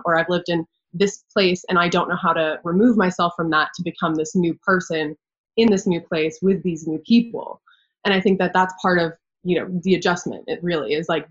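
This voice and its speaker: A young woman, a university student, talking reflectively in a casual chat with many fillers. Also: lowish voice. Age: young adult. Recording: dull lo-fi audio.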